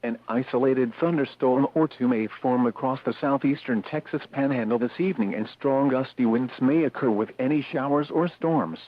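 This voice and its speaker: A synthetic man's voice reading a scripted weather bulletin as an automated radio announcer, formal, measured and impersonal. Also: medium pitch, no echo. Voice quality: synthetic text-to-speech voice. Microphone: radio broadcast, dry sound. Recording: tinny narrowband weather radio broadcast.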